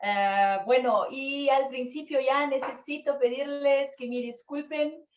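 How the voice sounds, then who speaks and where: A woman's voice: sits high, steady tone, a little slow, roomy sound, muffled recording. A Brazilian woman whose first language is Portuguese, giving an online conference lecture in careful, hesitant second-language Spanish over a video call.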